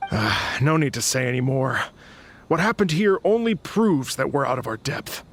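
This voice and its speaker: Gravelly, earnest young swordsman. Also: medium pitch, big pitch swings, moderate pace, a bit bright, slight background noise. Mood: dejected. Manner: formal, solemn. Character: earnest, proud young male sword disciple, senior student. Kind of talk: dramatic anime-style video game acting.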